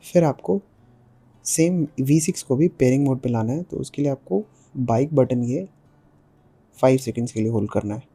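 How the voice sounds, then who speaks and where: Dark-voiced Indian man reviewing gear. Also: medium pitch, moderate pace, pauses often, slightly rough. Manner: explanatory, step by step, switching between Hindi and English. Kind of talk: casual product review to viewers.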